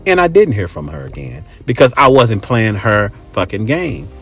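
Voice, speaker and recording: American man, lively swooping intonation, casual opinionated host, blunt, profane, emphatic repetition, faint background music. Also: mid-range voice, normal speed, vocal fry, slightly rough. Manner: profane, blunt, emphatic, repeats phrases for effect. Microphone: close microphone.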